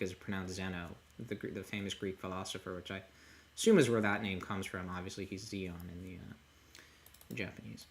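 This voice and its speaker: A man hosting a casual livestream discussion, talking in a rambling, halting way as he thinks aloud. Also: medium pitch, fairly bright tone. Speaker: livestream host. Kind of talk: casual discussion of a comic chapter.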